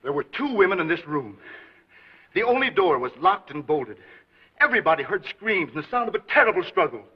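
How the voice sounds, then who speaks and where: An earnest young man pleading urgently with the authorities. His voice is high and husky, and it rises and falls a lot. The audio is muffled like an early talkie. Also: very animated, fairly quick, exaggerated voice. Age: young adult. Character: earnest young man accusing a mad doctor. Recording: muffled 1930s film soundtrack.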